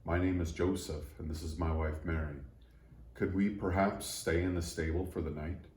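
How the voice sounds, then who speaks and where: Storybook role: tired travelling husband, voiced by a man. Low, mellow, polite, subdued, roomy. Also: steady tone, normal speed, slight background noise, lightly acted. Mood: weary, polite. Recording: roomy echo.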